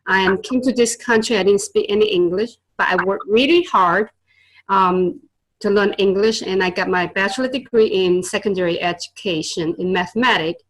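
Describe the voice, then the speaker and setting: A woman's voice: mid-range voice, moderate pace, rough recording. Earnest woman. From born in Vietnam, raised in China. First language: Chinese and Vietnamese. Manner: earnest, with many fillers and a non-native grammar. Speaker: guest, tutor and business owner. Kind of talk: casual interview storytelling.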